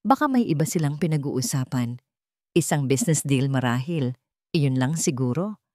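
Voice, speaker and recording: A woman's voice: rumbling low, lively intonation, moderate pace, dark tone, no echo, clean studio sound. A woman voicing a meek, anxious young wife in a dramatic story, timid and restrained. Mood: anxious denial.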